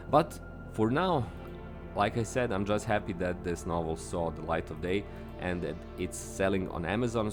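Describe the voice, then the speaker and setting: A lower-voiced man with a Serbian accent talks alone into the mic as a podcast host. He is upbeat and earnest, and he throws sudden high lifts onto the words he stresses. Soft music sits faintly under his voice. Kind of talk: solo podcast monologue.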